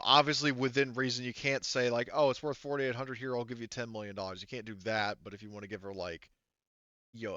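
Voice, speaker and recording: A man explaining tabletop game mechanics as game master, in a halting, casual and mildly sweary way. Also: fairly low pitch, bright, forceful tone, no echo, lo-fi sound.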